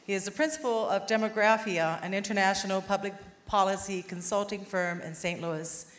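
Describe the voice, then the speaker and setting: A woman's voice: mid-range voice, fairly even intonation, normal speed, roomy sound. A woman hosting a public meeting, reading a prepared introduction of the guest speaker. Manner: reads a prepared biography. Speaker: event host.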